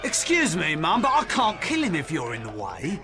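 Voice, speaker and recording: A man's voice: a little high, huge pitch swings, moderate pace. A man playing a belligerent, taunting marine bully with a sneering, mock-polite manner in a video game. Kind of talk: video game cutscene acting. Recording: music behind the voice.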